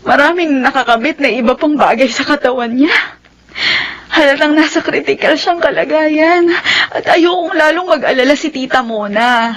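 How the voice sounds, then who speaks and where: An actress plays a devoted nurse in an audio melodrama. Her voice is high, and her delivery is earnest and full of feeling. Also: moderate pace, a bit bright, slight background noise. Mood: worried. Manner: earnest, emotional. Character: devoted young nurse in love with her patient. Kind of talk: melodramatic audio drama acting.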